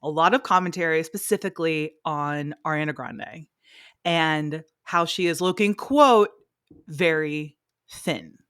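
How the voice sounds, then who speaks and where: A young woman co-hosting a podcast in casual chat, expressive and quick to swear. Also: sits low, clear, resonant tone, no room sound, clean studio sound. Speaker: podcast co-host.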